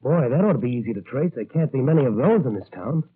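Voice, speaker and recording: A man's voice: mid-range voice, lively intonation, quick pace, husky. An actor playing a determined, eager young policeman hero in 1940s-style radio drama. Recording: noisy, narrow-band vintage radio recording.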